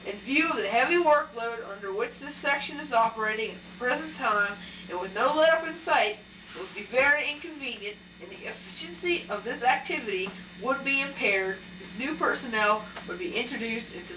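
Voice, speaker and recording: Formal officer reciting a memo woman acting, amateur stage, slightly high bright voice, distant mic. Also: lively intonation, fairly quick. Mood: formal, official. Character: formal military boss reading an official memo. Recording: echoey hall, distant mic, faint noise.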